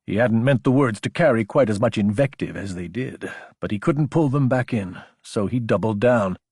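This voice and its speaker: Husky male audiobook narrator, dry recording. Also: lowish voice, lively intonation, normal speed, darkish tone, dry recording. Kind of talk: scripted dramatic fiction narration.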